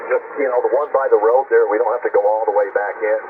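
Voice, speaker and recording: A man's voice: lowish voice, steady tone, fast, recorded from a distance. An American man talking casually and plainly over two-way radio as a mobile ham operator, his voice coming through a receiver. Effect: heard through a radio receiver. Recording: narrow tinny radio link, loud static.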